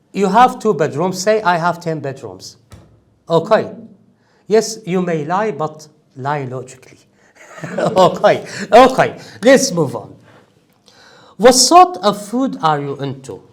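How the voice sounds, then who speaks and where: A man teaching an English speaking-test class, emphatic and animated, joking with his students. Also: high-pitched. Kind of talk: classroom lecture.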